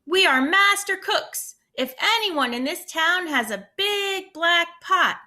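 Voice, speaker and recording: A woman's voice: very high-pitched, lively intonation, slow pace, pushed and bright, pitched above the performer's own voice, exaggerated voice. A woman voicing a clever, cheerful male traveler who grandly proclaims his magic soup. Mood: proclaiming. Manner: grand, theatrical announcing.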